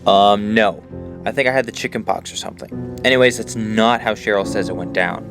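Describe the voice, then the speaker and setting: A man's voice: lowish voice, fairly quick, gravelly, music in the background. An actor playing an earnest, loyal, troubled teenage boy with a sincere, plain-spoken way of talking in an audio drama. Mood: reflective, uneasy. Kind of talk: conversational audio drama acting.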